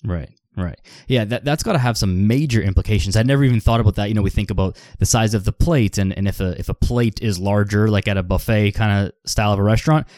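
Low-voiced podcast host, dark and dry. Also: male voice, fast, hardly any pauses, no room sound. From Canada. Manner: repeats words while thinking, laughs along. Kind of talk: casual interview hosting.

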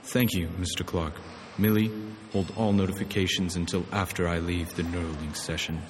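A man's voice: deep voice, fairly even intonation, normal speed, slight background noise, natural voice. A man playing a curt corporate manager under pressure, formal and controlled, in a sci-fi audio drama. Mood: brisk, commanding.

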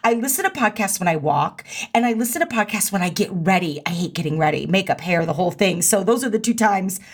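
A woman hosting her own podcast in an energetic, slangy, emphatic monologue, her voice a little hoarse. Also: mid-range voice, quick pace. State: slightly hoarse. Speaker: podcast host.

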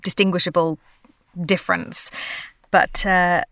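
A woman's voice: fairly low pitch, big pitch swings, measured pace, fairly bright tone, no room sound. An actress from Australia, chatting in an interview, laughing often and restarting her sentences. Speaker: actress and voiceover artist, interview guest. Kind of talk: casual interview conversation.

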